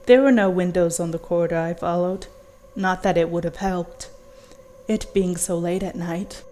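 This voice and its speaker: A woman's voice: on the low side, steady tone, normal speed, mellow. A woman reading a scripted first-person horror story as narrator. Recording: audible background noise, amateur recording.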